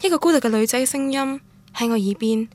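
A lovelorn young woman, played by an actress, narrates her romance in a radio drama. Her Cantonese voice is slightly high and quite husky, with a sentimental temper. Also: fast talker, a little mellow, close to an everyday voice. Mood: wistful. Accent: Cantonese, Guangdong. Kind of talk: radio drama inner monologue.

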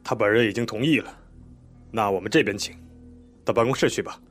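An actor playing a police inspector in a radio drama, polite and measured but quietly pressing and official. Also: male voice, lowish voice, soft background music, close to an everyday voice. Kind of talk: broadcast drama acting.

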